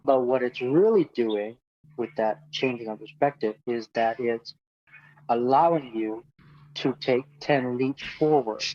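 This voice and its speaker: Reflective man, dull lo-fi sound. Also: medium pitch. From Hungary. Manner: reflective, repeats himself, many fillers. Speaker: guest speaker. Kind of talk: casual interview talk.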